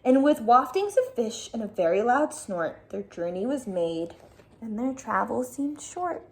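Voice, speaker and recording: A woman schoolteacher reading aloud in an expressive, dramatic storytelling style with casual asides. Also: a little high, measured pace, a little hiss or hum. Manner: animated, dramatic storytelling delivery.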